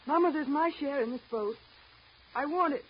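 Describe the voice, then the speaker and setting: An actress playing an anxious, earnest young wife and daughter, pleading and emotional in a 1930s radio drama. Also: female voice, high voice, normal speed, natural voice. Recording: dull, lightly noisy 1930s radio recording.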